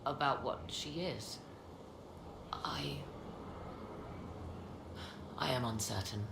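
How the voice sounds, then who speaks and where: Very low-voiced, proud sorceress. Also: female voice, gravelly, heavily acted. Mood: uncertain, reflective. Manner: formal, deliberate, theatrical phrasing. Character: proud, guarded sorceress, a worried mother. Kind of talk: dramatic video game dialogue. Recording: echoey, noisy game scene capture.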